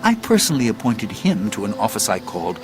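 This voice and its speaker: An actor playing a wise old sage and former schoolmaster, narrating an audio drama in a stately, deliberate, theatrical way over music. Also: male voice, fairly low pitch, big pitch swings. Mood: storytelling. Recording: loud music bed under the voice.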